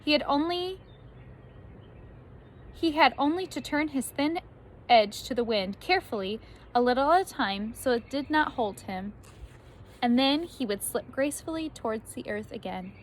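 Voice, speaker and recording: Woman, fairly high voice, expressive storybook read-aloud, teacherly, emphatic key words, faint background noise. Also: normal speed, long pauses.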